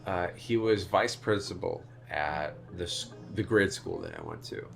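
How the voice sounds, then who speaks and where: A man's voice: deep voice, huge pitch swings, measured pace, vocal fry, music in the background. A man with a nasal voice telling a rambling, filler-heavy story on a podcast. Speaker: podcast host. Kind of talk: casual chat.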